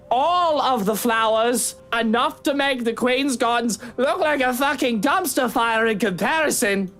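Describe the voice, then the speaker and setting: An actor playing a very drunk, maudlin husband in a visual novel, slurring and rambling melodramatically. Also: male voice, very high-pitched, fairly bright tone, heavily acted. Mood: maudlin, gushing. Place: studio game voice track with music behind.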